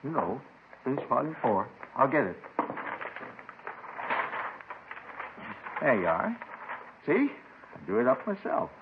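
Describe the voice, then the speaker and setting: A man's voice: medium pitch, huge pitch swings, moderate pace, creaky, noisy background. An actor in a 1950s radio drama playing an agitated, paranoid reclusive crank who rambles, stammers and repeats himself about his doomsday writings. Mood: fervent, prophetic. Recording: muffled vintage 1950s radio recording.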